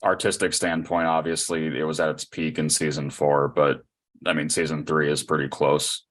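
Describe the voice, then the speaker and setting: A man's voice: a bass voice, flat delivery, normal speed, fairly bright tone. A man co-hosting a casual fan podcast, thinking aloud in a hesitant way. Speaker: podcast co-host.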